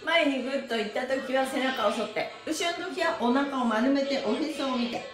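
A high-voiced middle-aged woman teaches a stretching class in a cheerful, encouraging way. She calls out moves and chants in a sing-song while exercising. The room is echoey, with music playing behind her. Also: normal speed. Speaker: stretching instructor, pantomime performer. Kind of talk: live-streamed exercise class with counted chants. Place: small bare-walled indoor studio. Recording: roomy echo with music behind.

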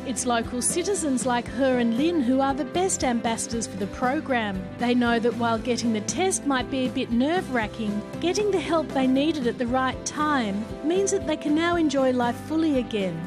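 A woman reads a news report in a clear, measured way. Her voice sits high in pitch. Background music plays clearly under her voice. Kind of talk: scripted news voice-over.

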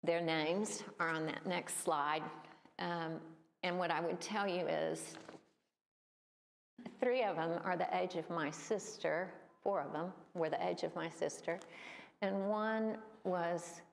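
A woman's voice: fairly low pitch, fairly even intonation, gravelly. Woman in her 60s, echoing hall. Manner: unhurried, thoughtful, with fillers and pauses. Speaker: church presenter. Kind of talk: slideshow talk. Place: church hall.

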